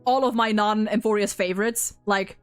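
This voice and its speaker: A woman livestream host chatting casually and excitably, rambling as she goes. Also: medium pitch, moderate pace, soft background music. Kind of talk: casual chat.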